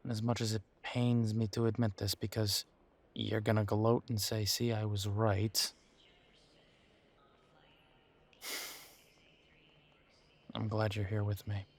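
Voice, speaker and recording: A man's voice: lowish voice, level tone, unhurried, long pauses, darkish tone. A man playing a bitter, wounded werewolf confiding in his partner in an intimate audio roleplay, with a sardonic, hesitant, conversational delivery. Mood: tender, grudging.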